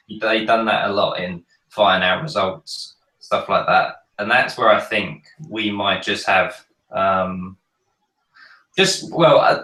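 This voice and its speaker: A man with a croaky, cold-hoarsened voice, chatting casually with many ums on a fan podcast. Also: on the low side, bright, forceful tone, echoey room, recorded from a distance, low-quality recording. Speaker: podcast panelist. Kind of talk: casual chat.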